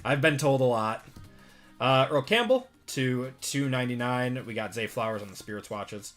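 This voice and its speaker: Midwest guy opening sports cards on a stream, bright voice, chatty and relaxed, faint music behind. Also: medium pitch, normal speed. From Wisconsin, US. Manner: relaxed, chatty, reads out cards and answers chat. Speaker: sports card break host. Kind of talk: live-stream chat while opening cards.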